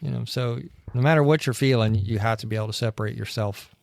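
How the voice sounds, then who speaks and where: A man's voice: medium pitch, fast talker, creaky, dry recording. Man, mid-range voice, easygoing, reflective, dry studio sound. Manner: relaxed, reflective, many fillers. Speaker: podcast co-host. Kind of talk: casual chat.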